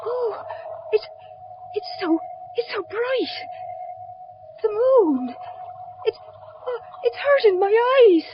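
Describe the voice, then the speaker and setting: A very high, swooping voice on a muffled, hissy old radio recording plays a frightened village wife. The delivery is breathless, faltering and melodramatic, full of dread. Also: female voice, lively intonation, slow, long pauses, full character voice. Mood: hushed dread. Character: frightened devoted village wife, fearful of a wolf creature. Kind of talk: 1970s radio horror drama acting.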